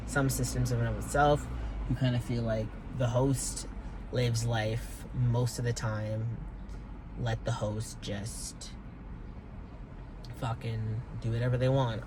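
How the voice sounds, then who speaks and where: A young man talking in a rambling, hesitant way, with many fillers and casual swearing, recording a personal vlog from inside his car. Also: mid-range voice. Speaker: vlogger. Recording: car noise in background.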